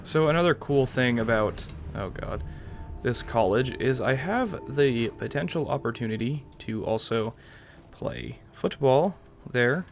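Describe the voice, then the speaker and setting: A man's voice: medium pitch, lively intonation, measured pace, few pauses. A young man giving casual let's-play commentary as a gaming video host, musing aloud in a rambling way over game music. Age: young adult.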